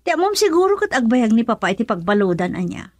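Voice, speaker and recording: A woman playing a soft-hearted, worried daughter in a radio drama, pleading and conciliatory. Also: a little high, big pitch swings, quick pace, no echo. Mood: subdued.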